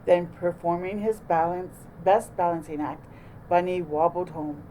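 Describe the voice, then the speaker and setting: A woman narrating a children's picture book aloud in an expressive, deliberate way. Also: on the low side, a little hiss or hum, low-quality recording. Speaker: storytime host reading aloud.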